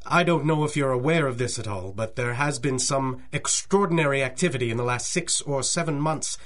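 An actor playing an older bank president in a radio drama, formal, fussy, deferential and anxious. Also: male voice, mid-range voice, a little fast, fairly bright tone, close to an everyday voice. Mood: apologetic, hesitant. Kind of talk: 1930s-style radio drama acting.

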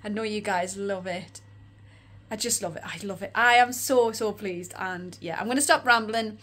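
A woman in her forties with an Australian accent, chatting and rambling in a casual vlog, her voice croaky and tired. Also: mid-range voice, moderate pace, a bit bright. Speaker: vlogger. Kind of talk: casual shopping vlog chat. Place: room with neon lights, indoors.

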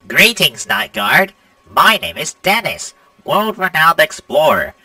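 A man's voice: fairly high pitch, sing-song, takes it slowly, sharp tone, dry recording, recorded from a distance, heavily acted. A man voicing a self-assured animatronic explorer, speaking in a showy, congratulatory manner in game voice lines. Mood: cheery, smug. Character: self-assured male animatronic explorer greeting the night guard. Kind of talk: video game character voice lines.

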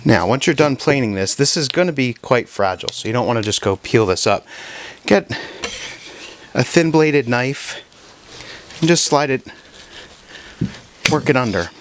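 A man talks through a hand-tool woodworking demonstration at his workshop bench, in an ordinary mid-range voice. He speaks casually and stops often for fillers and restarts. A faint hum and soft clicks sit behind him. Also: medium pitch. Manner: relaxed, halting, many fillers and restarts. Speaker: woodworking teacher. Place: woodworking shop, indoors.

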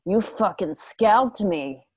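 A woman's voice: mid-range voice, animated, slow, slightly rough, no echo. An actress playing a vengeful, scarred gunslinger woman in a Western radio play, with a folksy, profane, menacing manner. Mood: cold, taunting. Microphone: home video call.

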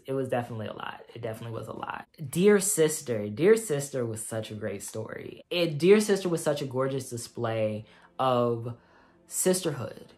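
A young man giving a casual, heartfelt book review at home, speaking earnestly with frequent ums and likes. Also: medium pitch. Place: small room at home with a bookshelf, indoors.

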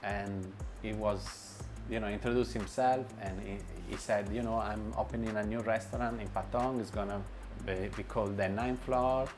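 A man's voice: lowish voice, steady tone, normal speed, hardly any pauses. A man in his forties, a chef and restaurant owner, answering interview questions in a hesitant way, with many fillers, restarts and grammar. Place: bright indoor room with light wall. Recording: music bed under voice. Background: background music.

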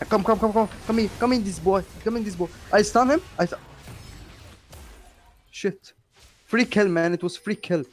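Young male gamer on headset chat; giggly, needling and excitable. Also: high voice, moderate pace, long pauses, slight background noise. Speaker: gamer streaming his match. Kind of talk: casual voice chat while gaming.

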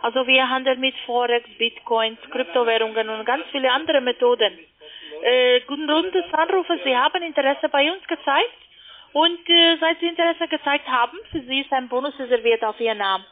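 High-pitched female telemarketer on the phone. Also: brisk. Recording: tinny narrow phone line.

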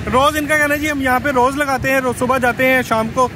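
A man's voice: very high-pitched, little pitch movement, brisk. A man in his thirties with a Lahore Pakistani accent, presenting a casual street market vlog and repeating prices back to viewers. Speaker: vlogger host. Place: outdoors on a roadside. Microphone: phone or camera at arm's length. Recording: clear street and traffic noise.

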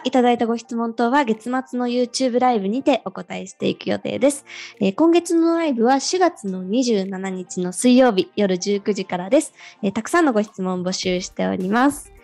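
Woman, slightly high voice, scripted announcing, faint music behind. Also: brisk, a little mellow.

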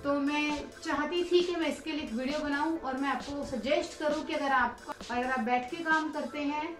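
A young woman talks in a high voice, explaining things casually like a home reviewer. The room adds noticeable echo, and music plays behind her. She slips English words into her instructions. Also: steady tone, normal speed. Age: young adult. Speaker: vlogger reviewing a product. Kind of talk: casual unboxing and review talk to camera. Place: living room at home, indoors.

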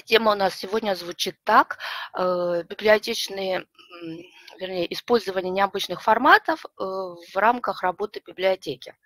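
A middle-aged woman presenting an explanatory online lecture, hoarse from a cold and pausing often to choose her words. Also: mid-range voice, a bit bright, no echo. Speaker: webinar presenter, librarian and teacher. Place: small room with bookshelves, indoors.

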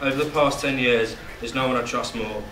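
Young groom, reads a heartfelt joking speech, picked up far off in a noisy hall. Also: male voice, mid-range voice, steady tone, fast talker. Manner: emotional, voice catching at moments, joking. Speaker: groom giving a wedding speech. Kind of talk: reading a wedding speech. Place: wedding reception hall, indoors. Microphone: far camera, no mic. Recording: echoey hall, distant camera, loud noise.

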